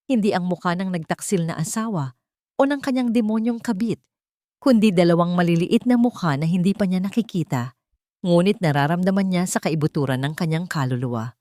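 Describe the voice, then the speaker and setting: Female storyteller, lower register, deliberate dramatic delivery, close dry recording. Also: mellow, no echo. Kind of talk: scripted dramatic story narration.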